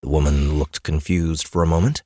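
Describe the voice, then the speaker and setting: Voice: a bass voice, steady tone, normal speed, warm tone, no echo. An audiobook narrator reading scripted fantasy fiction in a studio. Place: dry studio.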